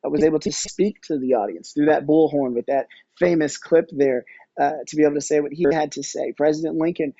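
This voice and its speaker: A man giving conversational interview answers as a podcast guest, discursive and halting as he thinks aloud. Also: a little high, vocal fry, dark tone, husky, no echo, highs cut off. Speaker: podcast guest, audio storytelling company executive.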